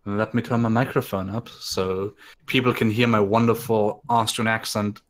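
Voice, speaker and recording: A man co-casting a live video game match, giving calm analysis of picks and strategy. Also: lowish voice, normal speed. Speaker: esports co-caster.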